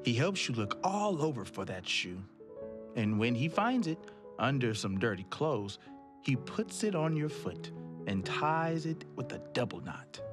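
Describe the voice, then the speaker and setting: Guy reading a kids' picture book real slow, voice bouncing up and down a lot, loud guitar music playing behind him. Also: mid-range voice. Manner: slow, lively storytelling voice. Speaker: children's read-aloud host.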